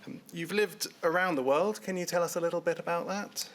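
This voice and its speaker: A man's voice: a little high, fairly even intonation, brisk. A man serving as counsel who questions a witness at a formal hearing in a polite, courteous way, with frequent ums.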